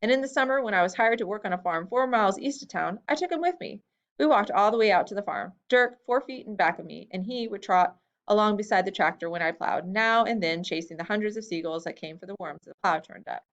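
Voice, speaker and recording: A woman's voice: fast, highs cut off. A woman reading a first-person story aloud, lifting her voice for the quoted lines. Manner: expressive reading that lifts pitch for quoted lines. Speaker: reader. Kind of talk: storybook reading of a memoir-style tale.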